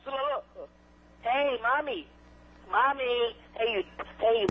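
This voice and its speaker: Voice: medium pitch, slow. Phone-in caller, casual short phrases, dull muffled line, noticeable noise. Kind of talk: brief casual phone calls.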